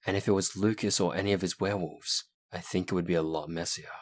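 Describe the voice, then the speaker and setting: A man's voice: low-pitched, steady tone, fast talker. A man playing a grieving, defiant young werewolf heir in an earnest, intense roleplay drama. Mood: grave, wary.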